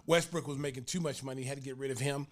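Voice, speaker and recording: An older man from Oklahoma hosts a sports podcast and talks loosely, piling on fillers and restarts as he spins stories. He laughs his way through anecdotes and sounds animated and at ease. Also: mid-range voice, quick pace. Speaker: podcast host, former pro basketball player. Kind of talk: casual sports talk and storytelling.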